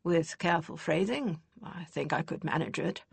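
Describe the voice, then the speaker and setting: A woman voicing a gruff older male military commander in an audiobook, curt and growling. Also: deep voice, normal speed, no room sound, full character voice. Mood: grudging.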